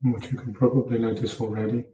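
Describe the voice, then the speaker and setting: A man leading a guided meditation as a teacher, giving calm, unhurried instructions in short, soft phrases. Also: mid-range voice, slight background noise. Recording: muffled, echoey room, distant mic.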